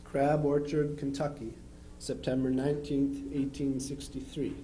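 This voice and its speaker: A man's voice: medium pitch, level tone, unhurried, warm tone, slight background noise, lightly acted, poor audio. A man playing a dutiful young Civil War soldier, reading his letters home aloud. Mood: earnest. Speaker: amateur reader in a historical society dramatization.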